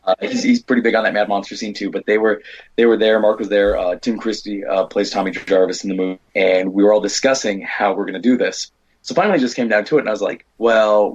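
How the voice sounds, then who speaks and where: A man's voice: lowish voice, slightly rough, muffled recording. An American man telling rambling anecdotes as an interview guest, casually quoting the people in his stories. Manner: rambling, animated retelling with quoted dialogue. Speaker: guest, indie horror filmmaker and stunt performer.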